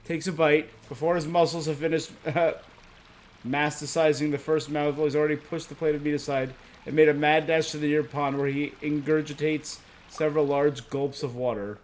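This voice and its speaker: Higher-pitched male game streamer, noisy recording. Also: level tone, normal speed. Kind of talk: live gameplay commentary, reading game text aloud.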